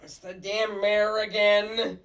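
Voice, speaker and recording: A woman voicing a gruff, excitable, boastful male puppet in a sitcom table read, with blustery exclamations. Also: medium pitch, slow, far from the mic.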